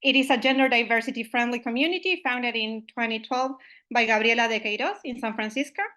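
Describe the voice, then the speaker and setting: A woman's voice: on the high side, brisk, pushed and bright. A woman from Uruguay, opening an online meetup as its host, friendly and full of "uh" and "I mean" fillers, over a video call microphone.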